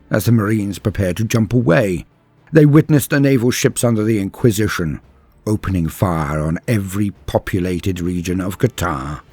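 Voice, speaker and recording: A man with a fairly low, husky voice delivers scripted, dramatic lore narration. His delivery is grave and measured, in the formal wording of a storyteller, with faint music playing behind him. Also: a little mellow, dry recording. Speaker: narrator of a science fiction lore channel. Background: background music.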